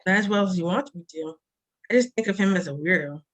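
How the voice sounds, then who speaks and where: Woman as a blunt, curious young friend, mid-pitched. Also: sing-song, fast talker, fairly bright tone. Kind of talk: table read of a TV pilot script.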